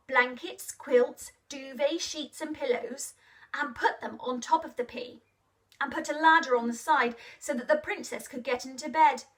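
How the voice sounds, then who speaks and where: Female children's storyteller, high voice, unhurried, expressive and interactive, slightly distant mic. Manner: expressive, asks young listeners questions, encourages them to act along.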